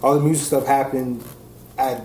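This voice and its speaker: A man in his forties from New Jersey, a guest telling stories in a relaxed, slangy way. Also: medium pitch, normal speed. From New Jersey, USA. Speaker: guest, music engineer. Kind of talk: casual interview. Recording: echoey room with faint noise.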